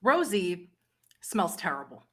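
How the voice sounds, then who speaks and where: A woman with a fairly low voice acts as game master. She narrates a tabletop roleplaying scene in a measured, descriptive way. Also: big pitch swings, fairly bright tone, breathy edge.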